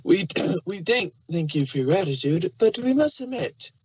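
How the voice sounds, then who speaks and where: A woman's voice: on the low side, big pitch swings, normal speed, background noise. A woman voicing a solemn royal pony princess, formal and wistful, in a rhyming storybook. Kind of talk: storybook fan fiction reading in rhyme.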